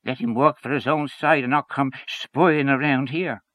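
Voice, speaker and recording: A man voicing a gruff, grudging old caretaker who speaks slowly. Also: mid-range voice, fairly even intonation, a bit bright, no room sound, clean studio sound, voice pushed up, natural voice. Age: older. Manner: slow, grudging.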